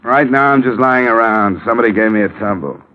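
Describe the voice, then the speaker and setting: An American man playing a wry, world-weary insurance investigator in a 1950s radio detective drama, with a sardonic, clipped, deadpan delivery. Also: mid-range voice, fast talker, slight background noise, close to an everyday voice. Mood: groggy. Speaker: lead actor and narrator. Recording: muffled vintage radio recording with music.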